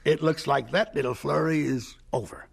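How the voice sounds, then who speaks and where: A man acting a self-assured older college president in an audio drama, ponderous and hesitant, with a gravelly voice. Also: on the high side, normal speed, full character voice. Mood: smug, reassuring. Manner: hesitant, ponderous, hemming and hawing.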